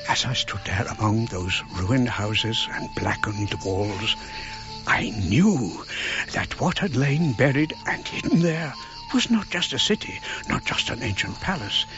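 Slow, solemn archaeologist narrator. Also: male voice, mid-range voice, lively intonation. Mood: solemn, awed. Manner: measured, portentous, pausing between phrases. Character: solemn, awed male archaeologist narrating his discovery, looking back. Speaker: narrator in a radio drama. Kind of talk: dramatic first-person scripted narration. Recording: dull recording with music behind.

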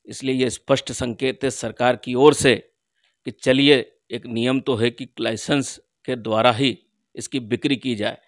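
A middle-aged man giving emphatic, deliberate political answers as an organisation's spokesman in an interview, into a handheld microphone. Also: medium pitch, steady tone. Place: small furnished room indoors, seated on a sofa. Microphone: handheld reporter's microphone held close.

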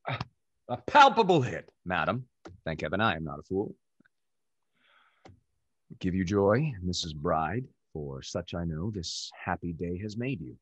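A man acts a mocking young gallant of period comedy. His lowish voice is animated and theatrical, in dry, slightly dull call audio. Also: unhurried, long pauses, husky, no room sound, full character voice. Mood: wry, teasing. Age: young adult. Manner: theatrical, sardonic. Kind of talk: period stage comedy read aloud. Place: indoors, on a video call.

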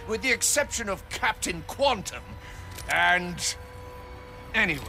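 An actor voicing a gloating robot emperor villain in a video game, pompous and theatrical, with drawn-out delivery. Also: male voice, high voice, animated, creaky, heavily acted. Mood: triumphant, showy. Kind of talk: video game cutscene voice acting. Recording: loud music over the voice.

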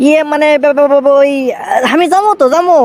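A man's voice: very high voice, normal speed, darkish tone. A man voicing a whiny, sulky young boyfriend in a comic cartoon, with a Bogura accent from northern Bangladesh. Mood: scheming, evasive. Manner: pleading, stubborn, breaks into teasing song.

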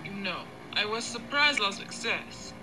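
A woman's voice: mid-range voice, expressive, a little slow, loud music behind the voice, close to an everyday voice, low-quality recording. An actress playing a sly, confident female spy in a video game. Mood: smug.

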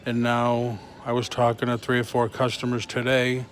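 A middle-aged man chatting casually on a live vlog from inside a shop, in a halting way, recorded on a handheld phone. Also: medium pitch, monotone. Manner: halting, reading and answering comments. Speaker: toy reseller livestreaming. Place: large concrete-floored antique mall booth indoors. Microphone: phone held at arm's length or closer. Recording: handheld phone with clear ambient noise.

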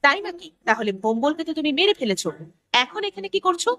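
A brave, sensible young daughter from a village, played by a woman in a horror cartoon. Her voice is fairly high and close and dry, and she speaks quickly and firmly. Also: very animated, bright, forceful tone, no echo, heavily acted. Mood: curious. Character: fearless, level-headed young village daughter. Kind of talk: animated horror story acting.

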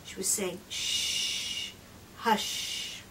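A middle-aged woman reads a picture book aloud to small children. Her delivery is slow, gentle and expressive, with drawn-out phrases and soft hushed moments. She is recorded at a distance from the microphone, with clear room noise behind her. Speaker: children's read-aloud presenter and trainer. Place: small indoor room lined with picture books. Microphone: far camera microphone.

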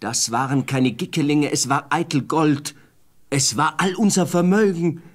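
A man's voice: on the high side, a little slow. An actor playing a plain peasant husband in a fairy-tale audio play, reproachful and exasperated with his foolish wife.

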